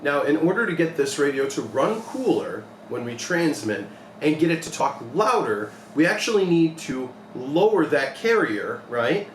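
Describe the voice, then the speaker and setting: A man's voice: fairly high pitch, big pitch swings, moderate pace. Man teaching in an echoey, noisy room. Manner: plain-spoken, step-by-step. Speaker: repair technician demonstrating a modification. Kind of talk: hands-on tutorial, informal explaining. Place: small cluttered electronics repair workshop, indoors. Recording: echoey room with clear background noise.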